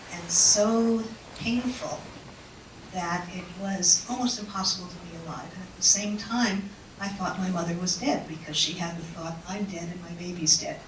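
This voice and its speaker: Woman, invited speaker, reflective storytelling, auditorium, distant mic, faint background noise. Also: lowish voice. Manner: unhurried, reflective storytelling with pauses. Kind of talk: personal talk to an audience. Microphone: microphone. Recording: echoey hall mic heard from afar.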